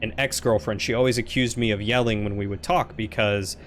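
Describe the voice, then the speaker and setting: A man streaming a game live, chatting casually with a loud, projecting voice that he keeps lighter and friendlier than his natural deep baritone. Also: steady tone, fairly quick, slight background noise.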